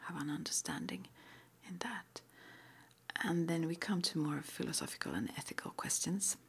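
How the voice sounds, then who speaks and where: Whispering woman with a creaky edge. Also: low-pitched, lively intonation, normal speed. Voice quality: breathy, mostly unvoiced whisper. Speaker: ASMR roleplay performer as witch school interviewer. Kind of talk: whispered ASMR roleplay interview.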